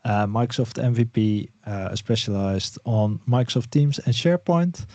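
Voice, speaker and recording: A man from the Netherlands, a guest on an online panel, talking in a relaxed way with lots of fillers. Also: medium pitch, normal speed, no echo. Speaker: Microsoft 365 specialist guest. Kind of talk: casual online panel talk.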